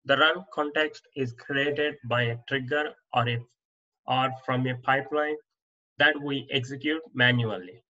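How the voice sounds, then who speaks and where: Bright-voiced man reading slide answers. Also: medium pitch, highs cut off. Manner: plain, steady reading with small restarts. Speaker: instructor. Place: voice-over on slide presentation.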